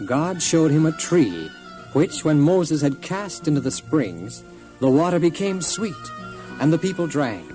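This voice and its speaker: A man's voice: a little high, sing-song, a little slow, music in the background, low-quality recording. A man narrating a scripted children's Bible story in a solemn, dramatic storytelling manner.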